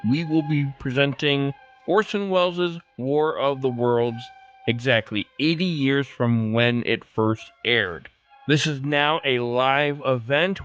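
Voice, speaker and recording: A man's voice: on the high side, fairly bright tone, muffled recording. A man hosting a podcast, talking directly to listeners as he goes through messages and show announcements. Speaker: podcast host.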